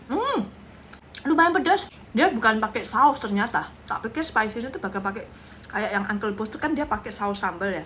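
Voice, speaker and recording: A woman's voice: fairly high pitch, fairly bright tone, slight background noise. A woman chats casually as she eats and reviews food, humming and murmuring between bites. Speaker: food reviewer in short social videos. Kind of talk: casual mukbang review chat while eating.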